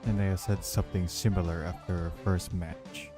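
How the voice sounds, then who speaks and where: A man's voice: low voice, level tone, normal speed. A man giving game commentary as a streamer. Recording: loud game music behind. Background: game music with guitar.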